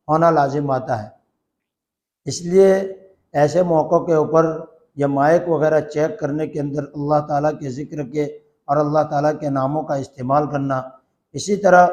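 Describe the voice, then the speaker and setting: A man speaking as an Islamic cleric, explaining a religious ruling in a formal, measured, didactic way and repeating points for emphasis. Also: a little high, darkish tone.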